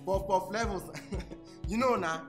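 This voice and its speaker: A man's voice: high voice, a little slow. A young Nigerian man acting a helpful, jokey senior student in a Pidgin radio drama. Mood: joking. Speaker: actor. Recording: music under the voice.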